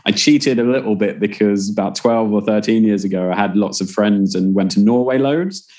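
A man's voice: lowish voice, normal speed, warm tone. A British man chatting casually as a podcast guest and telling stories, often laughing while he talks. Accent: British English. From Britain. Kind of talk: casual chat.

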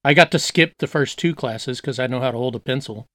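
A man's voice: medium pitch, brisk, bright, forceful tone, dry recording, clean studio sound. A man from the United States chatting as an interview guest, laid-back and joking, acting out quotes. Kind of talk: casual interview chat.

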